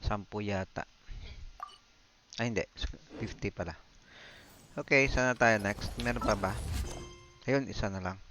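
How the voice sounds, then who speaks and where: A man's voice: lowish voice, normal speed, pauses often, low-quality recording. A man with a Filipino accent giving casual live gameplay commentary in short, muttered remarks to himself. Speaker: game livestreamer. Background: game music.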